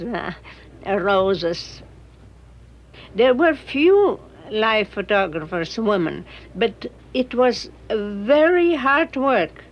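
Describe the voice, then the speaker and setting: Slow, halting woman photographer. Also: mid-range voice, dull audio. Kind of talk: reflective documentary interview.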